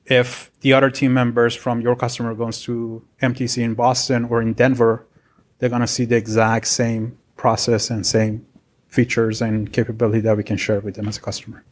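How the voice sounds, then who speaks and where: A man's voice: mid-range voice, monotone, moderate pace. A man in his forties, originally from Iran, telling his career story as a podcast guest in an earnest, rambling way. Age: 40s. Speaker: guest, software architect. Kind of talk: casual interview chat.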